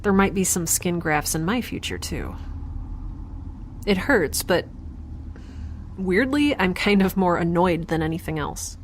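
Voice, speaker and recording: A woman's voice: fairly low pitch, big pitch swings, a little hiss or hum. A woman playing a frazzled, wry young scientist in space, rambling and correcting herself as she talks in an audio drama. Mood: awkward, uneasy. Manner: halting, rambling, self-correcting. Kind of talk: audio drama, conversational log-diary monologue and dialogue.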